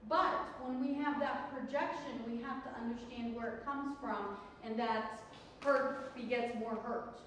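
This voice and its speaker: A very reverberant, distant recording of a woman teaching a class. Her voice is high and she takes her time, pressing points with rhetorical questions and short check-ins to her students. The delivery is didactic and emphatic, with faint noise around it. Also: measured pace, few pauses, fairly bright tone. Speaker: counseling course instructor. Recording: very echoey hall, distant mic, faint noise.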